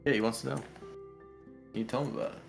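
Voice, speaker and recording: A man with a creaky voice reading a game story aloud in a casual, playful way, with bits of banter. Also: medium pitch, fairly even intonation, quick pace. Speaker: co-streamer reading a visual novel aloud. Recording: music behind the voice.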